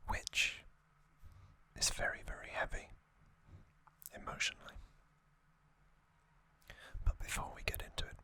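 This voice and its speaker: An ASMR host narrates trivia entirely in a whisper. The delivery is very slow and deliberate, broken into short phrases. The whisper is bright and sharp, and the voice sounds slightly strained. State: voice slightly off after singing hard.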